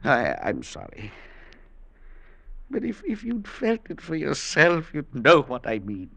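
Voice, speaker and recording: An actor playing a shaken scholar haunted by an unseen presence, talking in halting, stammering bursts in a distraught state, in 1940s radio acting. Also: male voice, a little high, huge pitch swings, vocal fry, sharp tone, dry recording, full character voice. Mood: horrified. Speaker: professor.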